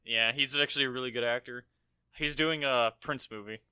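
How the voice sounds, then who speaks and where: American guy podcast cohost, really bright forceful voice, dry close sound, rambles and swears telling stories. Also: medium pitch, steady tone, a little fast, clear, resonant tone, no room sound. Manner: profane, rambling storytelling. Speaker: podcast co-host. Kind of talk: casual film review chat.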